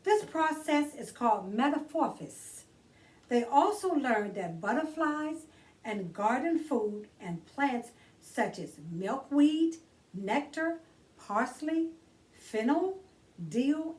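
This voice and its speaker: A woman hosting a children's storytime, reading aloud expressively and deliberately, drawing out key words for emphasis. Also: fairly high pitch, far from the mic, poor audio. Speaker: children's storytime host and reader.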